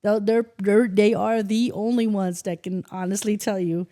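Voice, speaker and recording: A middle-aged woman from the Philippines chatting on a podcast. Her voice is mellow and warm at a middling pitch. She tells stories in an animated way, acts out what people said and swears casually. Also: moderate pace. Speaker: guest, host's mother.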